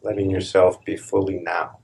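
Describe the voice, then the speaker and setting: Male meditation guide: deep, creaky voice, unhurried and soothing, deliberate pauses. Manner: calm, deliberate, soothing, with long pauses between short phrases. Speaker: spiritual teacher leading a guided meditation. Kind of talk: guided meditation, slow spoken instructions. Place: live meditation session in Los Angeles.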